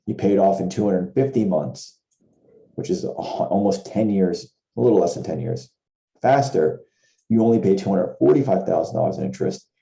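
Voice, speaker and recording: A man with a low, very dark and relaxed voice explains things informally on a video call. His delivery has a gravelly fry to it, and he rephrases his points while walking through examples. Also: roomy sound, rough recording. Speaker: trading educator and co-host of a Q&A. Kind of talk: informal explainer talk over a shared screen. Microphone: video call with screen share.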